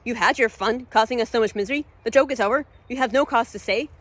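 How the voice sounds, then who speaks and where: An indignant sister from a fantasy storybook, played by a woman reading aloud. Her raised voice trembles yet stays clear, and she scolds emphatically and bravely. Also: fairly high pitch, quick pace, fairly bright tone, no room sound, voice pushed up. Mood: indignant, nervous. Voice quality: shaky but clear. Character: bold, indignant sister standing up to a villain.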